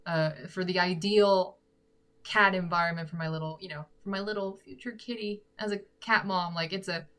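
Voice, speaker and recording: A woman's voice: on the low side, fairly even intonation, normal speed, a bit bright. A young woman from Korea, chatting casually with viewers, giggly and thinking aloud. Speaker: singer-songwriter chatting with viewers. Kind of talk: casual chat answering live comments.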